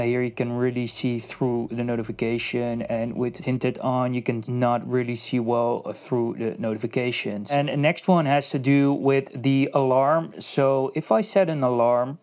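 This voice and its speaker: Man, 30s, casual tech host, relaxed explaining, lapel mic, home desk. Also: mid-range voice. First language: Dutch. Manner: relaxed, explanatory, frequent "uh" fillers. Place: home studio desk, indoors.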